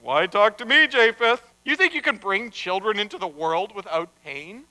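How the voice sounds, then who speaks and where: A man's voice: sits high, lively intonation, moderate pace, bright, forceful tone, exaggerated voice. A man voicing a blunt, fatherly God with an authoritative, sardonic manner in a told story. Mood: wry, commanding. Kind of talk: live storytelling. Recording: echoey hall, long reverb.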